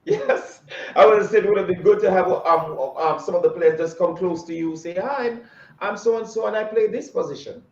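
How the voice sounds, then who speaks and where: A man's voice: high voice, fairly quick, highs cut off. A male host interviewing over a video call, enthusiastic but hesitant with many ums. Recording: echoey room, faint noise.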